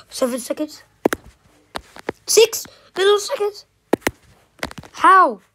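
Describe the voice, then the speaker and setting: A young woman with a heavy cold, sniffling as she talks. Her voice sits a little high and she speaks slowly, breaking into sudden excited gasps and squeals. The recording is noisy, with clicking taps behind her. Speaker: vlogger. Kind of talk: casual screen-recording vlog.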